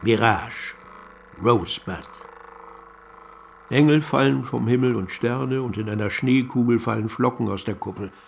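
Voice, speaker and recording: Brooding radio drama narrator, slow n deliberate, gravelly, bit of noise behind. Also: male voice, medium pitch. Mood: pensive, wondering. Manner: measured, deliberate, pausing between phrases. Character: pensive male narrator musing over vanished letters. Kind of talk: scripted literary radio play narration.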